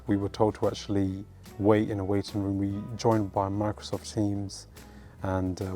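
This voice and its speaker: A man from England, a medical student, calmly giving matter-of-fact advice in an interview. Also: on the low side. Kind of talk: interview answers.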